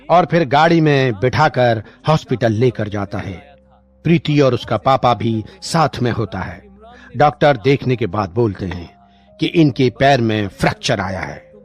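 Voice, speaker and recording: A man's voice: mid-range voice, a little mellow. A man reading scripted narration for a moral story. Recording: music bed behind the voice.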